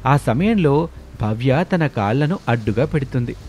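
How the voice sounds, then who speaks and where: A man's voice: medium pitch, expressive, moderate pace, darkish tone, soft background music. A man narrating a scripted story.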